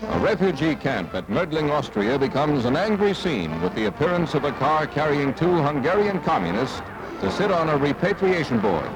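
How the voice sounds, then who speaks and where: A man's voice: fairly high pitch, very animated, normal speed, over loud music, rough recording. An American man narrating a scripted 1950s newsreel in a dramatic, punchy announcer style. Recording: vintage 1950s newsreel soundtrack.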